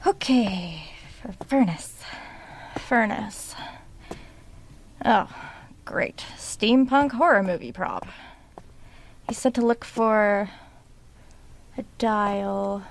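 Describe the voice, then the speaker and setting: A woman's voice: medium pitch, very slow, long pauses, a little hiss or hum. An actress in an audio drama playing a stubborn, defensive woman novelist around thirty, with a clipped manner. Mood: muttering, uneasy. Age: young adult.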